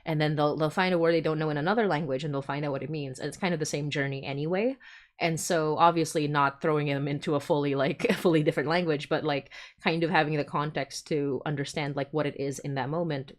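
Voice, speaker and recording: Low-voiced woman hosting a casual chat. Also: steady tone, fast talker. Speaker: podcast host and writer. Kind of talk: casual panel chat on a livestream.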